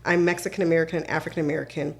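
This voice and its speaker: Host lady, lowish voice, chill encouraging questions. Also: level tone, racing, creaky. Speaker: podcast host, realtor. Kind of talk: casual interview conversation.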